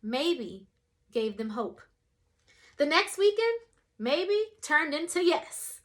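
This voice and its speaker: Young adult woman, children's story reader, expressive, wide-ranging melody. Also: high voice, slow. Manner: expressive, shifts voice for quoted characters. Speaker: storyteller reading a picture book. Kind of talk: children's read-aloud.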